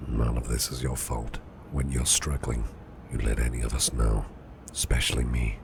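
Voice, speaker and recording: A man playing a caring, protective businessman boss in an ASMR roleplay, speaking in a soothing, reassuring, breathy half-whisper. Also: very deep voice, flat delivery, normal speed, background noise. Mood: tender, reassuring. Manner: soothing, reassuring, affectionate, gently murmured. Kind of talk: soft ASMR roleplay, personal attention.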